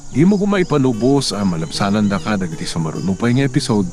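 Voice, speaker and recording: A man's voice: fairly low pitch, big pitch swings, fast talker, darkish tone. A man hosting a radio drama, delivering scripted promotional narration to listeners. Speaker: drama narrator and channel host.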